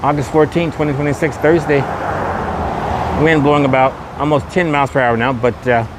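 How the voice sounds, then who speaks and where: A man's voice: on the high side, steady tone, brisk. A man hosts a casual walking tour podcast, repeating his points in tour-guide patter. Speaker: podcast host and vlogger. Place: outdoors on a windy street at a shopping mall. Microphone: camera microphone with a wind guard. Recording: windy outdoor street noise.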